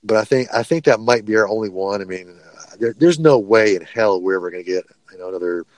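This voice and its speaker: A man's voice: fairly low pitch, fast, a little mellow, no echo, low-quality recording. A man of about forty co-hosting a casual podcast, bantering in a brash, jokey way with plenty of swearing. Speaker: podcast co-host.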